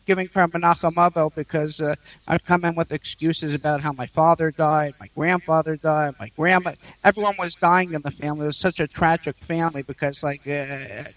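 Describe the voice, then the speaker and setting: A man in his thirties with a fairly high voice. He talks haltingly, stuttering and filling pauses as he spins mock-boastful, deadpan stories about himself in an interview. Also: low-quality recording. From Queens, New York. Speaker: comedian guest.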